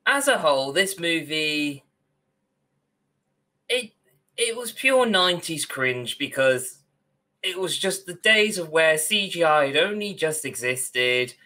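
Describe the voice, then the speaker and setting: A man's voice: fairly high pitch, expressive, slow, sharp tone. A young man co-hosting a podcast over a video call, giving a wry, opinionated, casual critique. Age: young adult. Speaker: podcast co-host. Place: small room at home, on video call.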